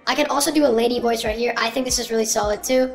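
A man's voice: very high voice, steady tone, rapid-fire, creaky, faint music behind, pitched above the performer's own voice. A man voicing a young lady through a voice changer, in upbeat presenter patter.

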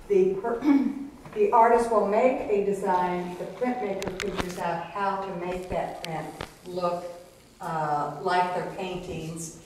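A woman with a slightly low voice, speaking slowly and giving advice on a panel. She is heard from far off in a strongly echoing room. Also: steady tone, a little mellow, a little hiss or hum. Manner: unhurried, advising. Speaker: print collector on a panel. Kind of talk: casual panel discussion. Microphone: far camera.

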